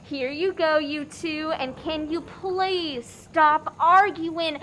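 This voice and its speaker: A woman's voice: high-pitched, fairly even intonation, slow, fairly bright tone, slight background noise. A woman playing a polite but cutting waitress in a comic radio play. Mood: pleading.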